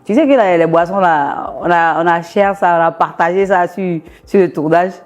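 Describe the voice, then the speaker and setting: A young woman from Côte d'Ivoire, a singer talking casually in an interview, lively and quick to laugh. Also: fairly low pitch, dull audio. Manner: lively, laughs often, animated asides.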